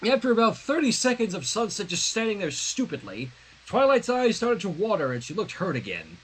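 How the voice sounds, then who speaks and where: A man hosting a fan fiction reading, expressively narrating the story with casual asides in a slightly husky voice. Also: sits high, a little fast, poor audio. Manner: expressive, acts out dialogue. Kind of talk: dramatic read-aloud of a story with casual commentary.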